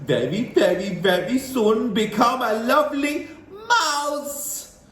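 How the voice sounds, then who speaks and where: A storyteller voicing a menacing, gloating female witch leader who shrieks in drawn-out, sing-song cries. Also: mid-range voice, expressive, a bit bright, pitched above the performer's own voice. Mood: triumphant. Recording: roomy distant recording, faint hiss.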